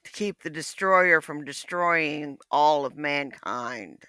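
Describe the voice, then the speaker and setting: A woman's voice: low-pitched, slow, sharp tone, clear, resonant tone, no room sound. A woman in her fifties, blunt, profane and mocking in casual livestream chat. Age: 50s.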